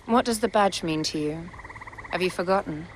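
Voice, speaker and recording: A woman's voice: on the low side, moderate pace, slight background noise, lightly acted. An actress playing a proud, commanding young Egyptian queen in a cinematic game. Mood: commanding, calm. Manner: commanding, regal.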